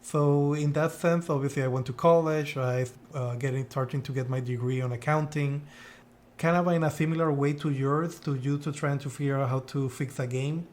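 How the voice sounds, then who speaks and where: A man's voice: on the high side, fairly even intonation, normal speed, darkish tone. A man from Puerto Rico with a Puerto Rican Spanish accent, co-hosting a podcast in casual, thoughtful, rambling conversation. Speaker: podcast co-host.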